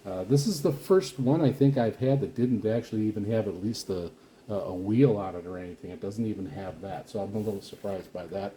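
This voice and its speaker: A man giving a casual, rambling product walkthrough as a reviewer, speaking in a halting, explanatory way. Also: on the low side, dark tone, slight background noise, low-quality recording. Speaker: radio reviewer and vlogger.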